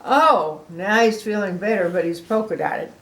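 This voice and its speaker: An older woman reading a picture book aloud to children, expressive, with chatty asides to the listeners. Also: medium pitch, normal speed, poor audio. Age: older, a grandmother.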